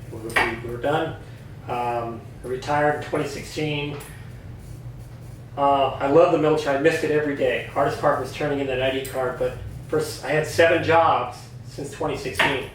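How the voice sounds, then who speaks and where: A man's voice: medium pitch, a little hiss or hum. Earnest middle-aged man, echoey room. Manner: earnest, candid storyteller. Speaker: guest, retired Army veteran. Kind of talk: casual personal story. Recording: echoey room, mic at a distance.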